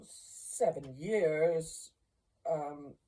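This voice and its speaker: A timid old miser out of a storybook, played by a woman reader. He sounds humbled and fearful, pleading slowly and haltingly in a soft, mellow tone. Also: low-pitched, full character voice. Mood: hesitant, wondering.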